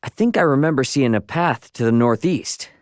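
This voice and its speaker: A man's voice: mid-range voice, expressive, normal speed, no echo, studio quality, close to an everyday voice. A man playing a brash, casual young video game adventurer, slangy and wry, impatient but good-hearted. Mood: steady, focused. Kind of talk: video game character voice lines.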